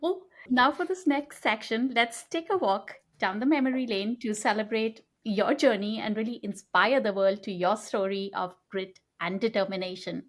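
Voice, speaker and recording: A woman with a medium-pitched voice hosts a podcast interview. She presents in a prepared, scripted-sounding style as she moves between segments. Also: moderate pace, few pauses. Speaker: podcast co-host. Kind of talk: scripted-sounding hosting segue.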